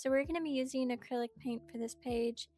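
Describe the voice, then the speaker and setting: A young woman who is a little sick, gently narrating her art process in a chatty, upbeat way. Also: sits high, fast talker, soft background music. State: slightly sick. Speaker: art vlogger. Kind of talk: relaxed art tutorial narration.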